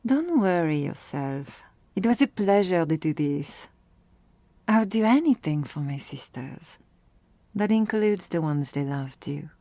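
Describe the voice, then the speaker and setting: Woman acting a gracious, soft-spoken vampire lady, unhurried and mellow. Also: deep voice, expressive, no room sound, full character voice. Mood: gracious, teasing. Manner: formal, soft-spoken, gently teasing. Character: gracious, guarded vampire woman, protective older sister. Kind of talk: ASMR roleplay acting.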